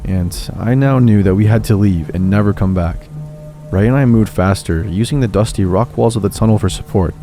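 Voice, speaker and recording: Male narrator, low-pitched and mellow, calm scripted horror reading. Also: a little fast. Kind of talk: scripted narration of reader stories. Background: faint music.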